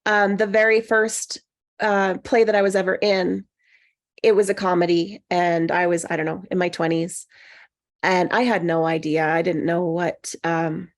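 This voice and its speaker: A woman talking informally in a group discussion, quick to laugh. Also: fairly low pitch, flat delivery. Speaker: actor in table read cast.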